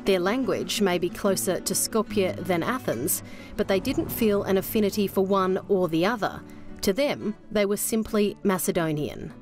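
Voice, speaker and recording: A woman reporter from Western Australia narrating a news documentary in a measured way. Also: mid-range voice, lively intonation. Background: soft music.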